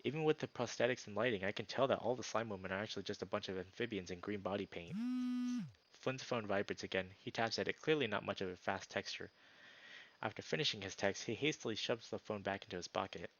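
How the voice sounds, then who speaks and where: A man narrating a visual novel aloud as a streamer, with informal, expressive asides. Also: fairly low pitch, fast talker, highs cut off.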